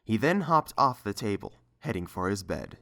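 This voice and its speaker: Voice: sits low, big pitch swings, normal speed, fairly bright tone. A narrator reading scripted story prose.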